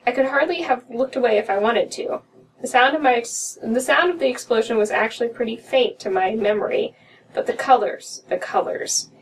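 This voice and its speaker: Young American female narrator for amateur horror readings, voice on the higher side, slightly distant home recording. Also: moderate pace. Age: young adult. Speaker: podcast co-host reading horror stories. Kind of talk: reading a story aloud. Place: small room at home, indoors. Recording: distant mic with room noise.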